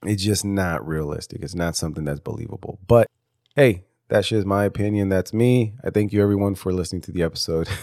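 A man's voice: low-pitched, brisk, a little mellow, dry recording, studio quality. Stuffy-nosed man rambling on a podcast. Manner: rambling with many restarts and casual swearing. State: congested, stuffy nose. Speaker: podcast host. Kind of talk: casual solo podcast monologue.

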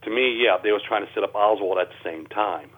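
A man's voice: lowish voice, a little fast. A man talking as an interview guest over a thin phone line, explaining things in a casual, plainspoken, folksy way. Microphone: telephone.

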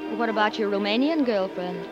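A woman's voice: medium pitch, brisk, music in the background, close to an everyday voice. An actress playing an ambitious, romantic newspaperwoman, earnest and witty, in 1940s radio drama style. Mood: playful, tender. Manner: earnest, dramatic, clipped wit. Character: ambitious, romantic American newspaperwoman torn by love. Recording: muffled 1940s radio recording.

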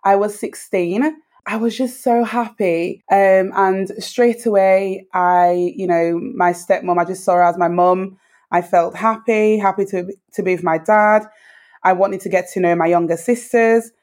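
A woman in her thirties from Dominica with a British accent, an interview guest thoughtfully telling her life story with frequent pauses. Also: medium pitch, steady tone, a little mellow. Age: 30s. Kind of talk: reflective personal storytelling.

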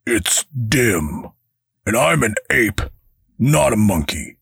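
A man voicing a big, slow-witted male ape guard who talks in a sulky, childlike way. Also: fairly low pitch, very animated, vocal fry, fairly bright tone, husky, dry recording, clean studio sound, pitched above the performer's own voice, heavily acted.

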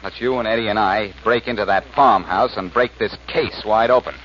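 An American man playing a quick-witted, commanding detective with a brisk, wisecracking manner in 1940s radio drama. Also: fairly low pitch, lightly acted. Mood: urgent, confident. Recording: muffled, noisy old radio recording.

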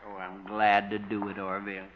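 An actor playing a folksy, good-natured, simple small-town jailer in a 1950s radio comedy, with a rustic, easygoing manner. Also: male voice, lowish voice, monotone, brisk, natural voice. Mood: friendly. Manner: rustic, chuckling, easygoing drawl. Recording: dull, noisy 1950s radio recording.

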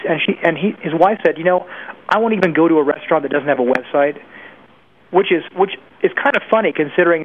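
Man on a tinny phone line. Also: a little high. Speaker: interview guest, internet industry professional. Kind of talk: conversational interview answers. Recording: narrow phone-like line with faint noise.

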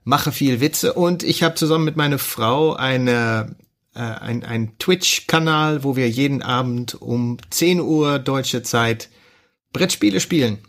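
A man's voice: mid-range voice, slow pace, a bit bright. A Dutch man, a podcast guest, chatting casually in a second language, cheerful and laughing often, sometimes searching for words. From Netherlands. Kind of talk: casual chat.

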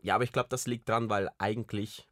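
Tiefe Stimme, junger Mann aus Kolumbien, trockener Klang, lockeres Plaudern mit vielen Füllwörtern. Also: male voice, on the low side, fairly even intonation, fast talker, a bit bright, no echo, clean studio sound. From Colombia. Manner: rambling, many restarts and fillers. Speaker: podcast co-host. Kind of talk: casual chat.

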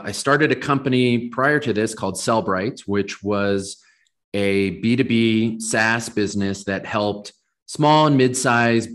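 Lowish-voiced American man as interview guest. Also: a bit bright. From Southern California. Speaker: company founder and interview guest. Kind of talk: conversational interview answers.

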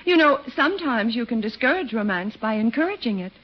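A woman playing a poised, sensible, gently teasing lady friend in a 1940s radio comedy, genteel and composed. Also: fairly high pitch, lively intonation, fairly quick. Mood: amused, advising. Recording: dull, noisy vintage radio recording.

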